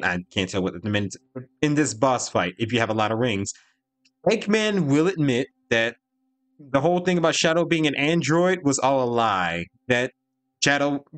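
Brief: male reaction host, mid-pitched voice, casual off-the-cuff commentary. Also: a bit bright.

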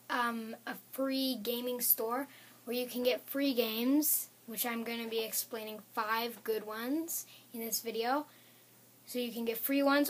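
A preteen child hosting a gaming video in a casual countdown style, slightly raspy and hoarse from being sick, with halting delivery and drawn-out emphasis. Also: mid-range voice, fairly bright tone. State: getting over a sickness. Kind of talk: scripted-sounding countdown narration and casual vlog intro.

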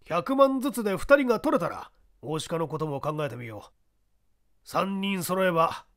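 男性が演じる高めで威圧的な社長. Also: male voice, high voice, expressive, moderate pace, voice pushed up. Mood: blustering. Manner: forceful, emphatic, bossy. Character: blustering, skeptical male company president.

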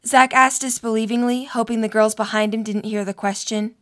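A woman's voice: fairly high pitch, steady tone, fairly quick. A young adult woman reading scripted story narration for an audio drama.

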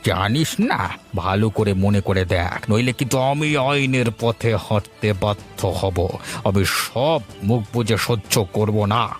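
A man's voice: on the low side, takes it slowly, soft background music. A man voicing a spiteful, greedy village schemer in a cartoon, with a conniving, accusatory manner. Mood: menacing, accusing. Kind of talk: cartoon dialogue acting.

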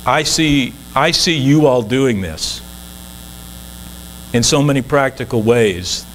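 A man's voice: mid-range voice, takes it slowly, a little hiss or hum, low-quality recording. A man in his sixties, a guest minister, preaching an earnest, conversational sermon from the pulpit of a church.

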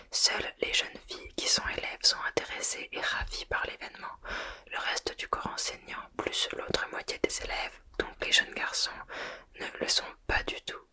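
A speaker reading a novel aloud in a soft, unvoiced whisper and then reviewing it, close to the microphone. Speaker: book reader and reviewer.

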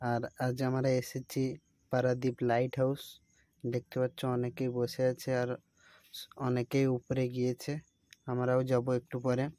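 A man's voice: mid-range voice, completely flat delivery, normal speed, dark tone, no room sound. A young man, casually narrating a travel vlog to viewers on a handheld phone outdoors. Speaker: vlogger. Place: outdoors at a seaside tourist spot. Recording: noisy outdoor phone recording.

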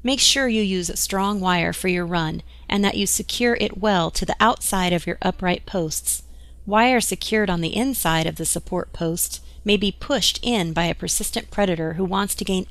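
A woman reading scripted instructional narration in an even, measured way. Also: medium pitch, dry recording.

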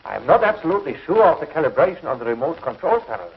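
An actor playing an anxious, cautious middle-aged scientist in a 1950s TV sci-fi serial, fretful and excitable. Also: male voice, fairly high pitch, fast talker, slight background noise. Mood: hesitant, worried. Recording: dull 1950s TV soundtrack, music behind.

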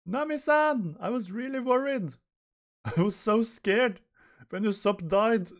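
Man doing a lovesick, high-pitched young fighter. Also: measured pace. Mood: gushing worry. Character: lovesick, melodramatic young male manga fighter.

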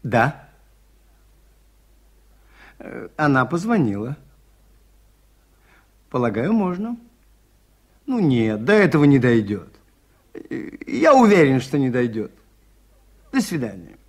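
An actor in a 1980s Soviet radio drama playing a shrewd, mild priest detective who explains things in a measured, polite way. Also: male voice, medium pitch, sing-song, darkish tone, breathy edge, heavily acted. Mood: reassuring.

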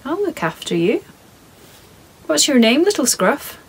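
A woman voicing a tiny, shy girl tree keeper who prefers to be alone, speaking softly and earnestly. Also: high-pitched, sing-song, measured pace, voice pushed up, heavily acted. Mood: gentle.

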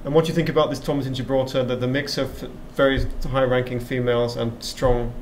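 Fast-talking interviewer man in his forties. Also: mid-range voice, level tone, a little hiss or hum, low-quality recording. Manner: quick, repeats words while forming questions. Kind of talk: conversational interview questions. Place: indoor press area before a sponsor backdrop. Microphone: desk microphone.

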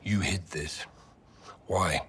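An actor with a British accent playing a gruff, sardonic army captain in a military game. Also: male voice, low voice, fairly even intonation, extremely slow, a bit bright, exaggerated voice. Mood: bitter, accusing. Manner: biting, sarcastic. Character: gruff, sardonic male army captain confronting his general. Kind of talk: cinematic military game acting. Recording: faint background noise.

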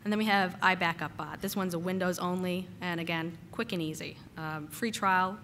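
A woman giving a casual technical conference talk, slightly hoarse from a cold and using lots of "uh" and "um" fillers. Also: on the low side, normal speed, fairly bright tone, slight background noise. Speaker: conference speaker. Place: conference hall.

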